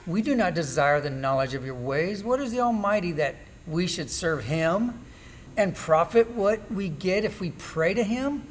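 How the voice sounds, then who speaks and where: A man's voice: high-pitched, expressive, normal speed, slight background noise, poor audio. A man playing an anguished, bitter suffering man in an amateur church Bible drama, reciting in a declamatory way. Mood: bitter, defiant.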